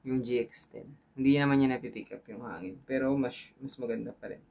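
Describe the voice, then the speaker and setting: Gravelly Filipino man giving a casual tutorial. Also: medium pitch, a little fast, darkish tone, highs cut off. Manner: informal, thinking aloud, checks in with viewers. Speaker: tutorial host. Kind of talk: casual hands-on tutorial talk.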